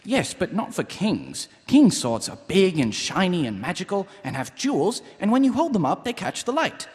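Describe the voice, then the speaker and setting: Earnest young guard, lively, hall reverb. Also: male voice, high-pitched, normal speed. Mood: sincere, matter-of-fact. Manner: earnest, guileless. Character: earnest, naive young city guard corporal, male. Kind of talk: comic fantasy radio play. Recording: live stage performance in reverberant hall.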